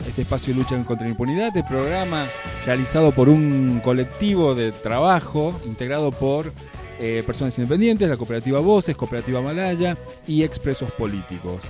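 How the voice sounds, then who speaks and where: A man's voice: mid-range voice, lively intonation, fairly quick. Male radio host, chatty and hesitant, music playing underneath. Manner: loose, hesitant with fillers. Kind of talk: radio presenting.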